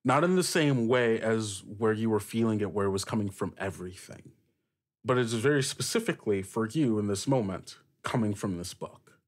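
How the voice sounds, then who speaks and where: Animated man narrating as game master. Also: on the low side. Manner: halting, searching for words as he describes. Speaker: game master, podcast host. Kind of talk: improvised tabletop narration.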